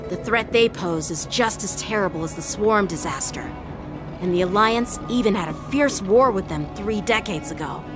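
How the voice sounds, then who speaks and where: Earnest, formal young lieutenant. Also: male voice, high-pitched, lively intonation. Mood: earnest, explanatory. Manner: formal, measured, authoritative. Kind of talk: English video game voice acting. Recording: music behind the voice. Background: game music.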